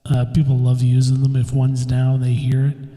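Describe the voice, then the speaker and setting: A man's voice: medium pitch, completely flat delivery, moderate pace, warm tone. Stuffed-up man rambling in an echoey room. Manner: laid-back, swears casually, laughs at himself. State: stuffed up, slightly congested. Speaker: podcast host. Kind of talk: casual solo podcast rambling. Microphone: phone or computer mic, new recording software. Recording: echoey room with long reverb.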